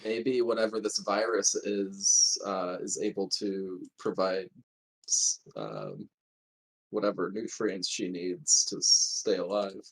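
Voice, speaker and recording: A man's voice: low-pitched, slow pace, gravelly, low-quality recording. A man chatting casually and nerdily as a player in a tabletop roleplaying game. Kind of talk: casual nerdy game chat, sweary.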